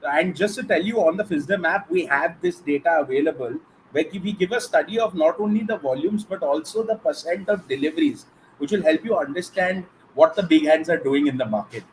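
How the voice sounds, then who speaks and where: A man's voice: fairly high pitch, fairly quick, poor audio. A man with an Indian accent hosting and moderating a live question-and-answer show. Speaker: host. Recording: faint background noise.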